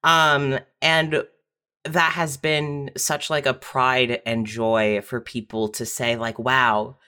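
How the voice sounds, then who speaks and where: A bright, clear-edged voice at a middling pitch, talking casually as a guest writer on a podcast. The delivery is informal and open, with a self-deprecating streak. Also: flat delivery, takes it slowly. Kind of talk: casual personal chat.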